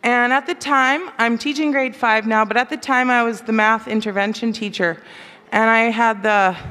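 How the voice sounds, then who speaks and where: A woman teacher giving a chatty introduction of the next speaker at a conference. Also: a little high. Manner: warm, chatty, with fillers. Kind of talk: introduction speech. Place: large conference hall. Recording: long hall reverb.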